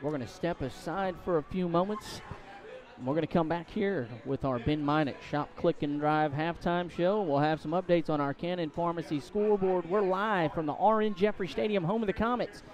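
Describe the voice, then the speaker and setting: High-voiced American football play-by-play man. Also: moderate pace. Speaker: play-by-play sports announcer. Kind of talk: live high school football commentary. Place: outdoor stadium. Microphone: headset.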